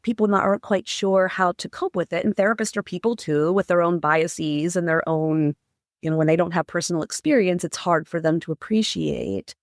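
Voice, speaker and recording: This is a middle-aged psychotherapist and professor speaking as a podcast guest. The voice has a gravelly creak running through it. The talk is earnest and candid, with stumbles, repeated words and frequent "like" and "right?". The recording is dry and close to the mic. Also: mid-range voice, normal speed, dry recording. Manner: earnest, repeats words when restarting, uses "like" and "right?" often. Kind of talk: candid interview answers.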